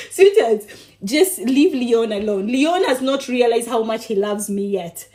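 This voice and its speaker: Woman, from Kenya, high voice, bubbly, affectionate, teasing, frequent laughter, casual livestream chat. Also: lively intonation, slow pace, warm tone. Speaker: livestream cooking host.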